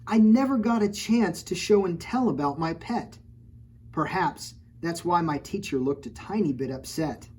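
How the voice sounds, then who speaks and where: Mellow-voiced woman reading a kids' story. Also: on the low side, lively intonation, moderate pace, breathy edge. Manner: playful, teasing, stops often to point out pictures. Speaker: children's librarian. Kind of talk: storytime read-aloud with chatty asides.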